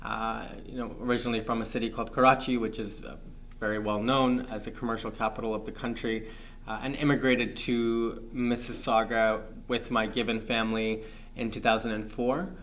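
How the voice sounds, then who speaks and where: A young man from Pakistan, a guest on an interview show, talking in a reflective, measured way with frequent fillers. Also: on the low side, level tone, a bit bright. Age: young adult. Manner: thoughtful, measured, many fillers.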